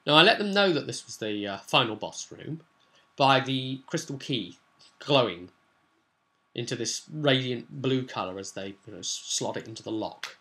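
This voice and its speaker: A man's voice: mid-range voice, pushed and bright. A man talking informally and at length as a game master explaining a tabletop session. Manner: rambling, informal. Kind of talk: casual explanatory vlog talk.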